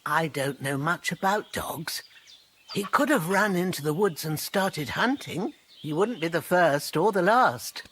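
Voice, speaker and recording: Village herbalist for a medieval game: practical, matter-of-fact, expressive pitch, light ambient noise behind. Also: female voice, unhurried. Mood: helpful, matter-of-fact. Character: practical, helpful village herb woman. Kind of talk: video game dialogue acting.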